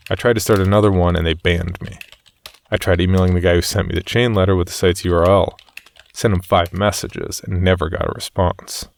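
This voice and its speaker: A man narrating a scripted horror story in a measured, matter-of-fact way. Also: low voice, steady tone, creaky.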